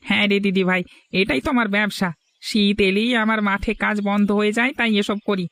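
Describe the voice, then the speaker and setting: A man's voice: high-pitched, fairly even intonation, a little fast, a bit bright, no echo. A man voicing a kindly, chatty older village snack hawker in a cartoon. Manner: chatty, genial. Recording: music under the voice.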